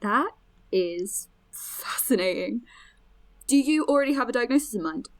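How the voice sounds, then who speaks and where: A woman's voice: on the high side, lively intonation, normal speed, close to an everyday voice. A woman playing an eager, friendly young podcast host in an audio drama, chatty, encouraging and inquisitive.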